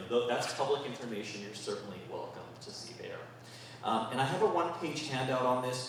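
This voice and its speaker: Brief: male deputy, lowish voice, chatty meeting report full of ums, picked up far from the mic. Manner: conversational, many fillers, reads out numbers slowly. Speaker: sheriff's deputy giving a community report. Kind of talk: informal community meeting report. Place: community meeting room, recorded from across the room. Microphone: distant room microphone. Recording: echoey meeting room, distant mic, faint noise.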